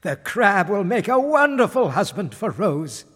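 Man as a children's-story king, blustery, easygoing, hearty, animated pitch, unhurried. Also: in a large hall, heavily acted. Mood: impressed.

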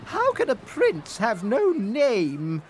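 A man's voice: very high voice, huge pitch swings, slow, noisy background, pitched above the performer's own voice, exaggerated voice. A man putting on a haughty storybook queen. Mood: indignant. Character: haughty scheming queen, middle-aged woman.

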